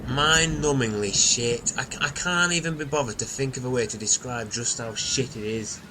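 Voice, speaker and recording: A man as a deadpan, scornful castaway. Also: mid-range voice, normal speed, noisy background, close to an everyday voice. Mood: jaded, scornful. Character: blunt, jaded, scornful castaway. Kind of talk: scripted audio comedy.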